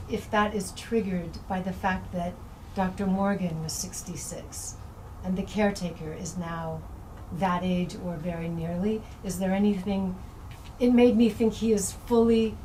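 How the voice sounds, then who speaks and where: A woman's voice: mid-range voice, dark tone, low-quality recording. A woman at a live book event putting a reflective question, tentative and thinking it out as she speaks. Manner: tentative, musing. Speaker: participant asking the author a question. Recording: faint room noise.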